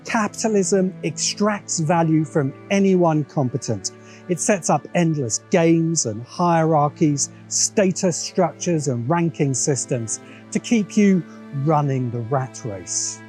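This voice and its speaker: A man narrates a scripted video essay in a soft, relaxed, slightly high voice. He is opinionated and dryly sardonic, with light music playing behind him. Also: slow pace. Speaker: host of a science fiction commentary show. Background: background music.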